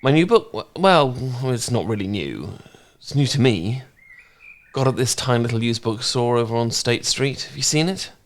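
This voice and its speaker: A man playing a gentle, caring housemate in an intimate ASMR romance roleplay, speaking softly and reassuringly. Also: medium pitch, expressive, close to an everyday voice. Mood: relaxed, easygoing. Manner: soft, reassuring, unhurried conversational delivery. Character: gentle, caring male housemate, shyly fond and easygoing.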